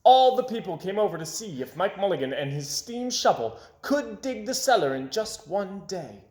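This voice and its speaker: A man with a slightly high, very animated voice narrates a children's storybook. He is dramatic, shifting volume and tempo to build suspense. The recording has a noticeable room echo. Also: normal speed. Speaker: storybook reader. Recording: roomy home recording.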